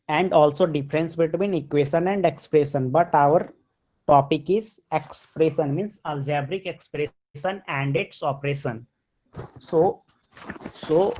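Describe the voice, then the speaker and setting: A man's voice: on the high side, a little mellow, poor audio. A man with an Indian accent teaching a maths class online over a computer mic, switching between English and Hindi and repeating his points.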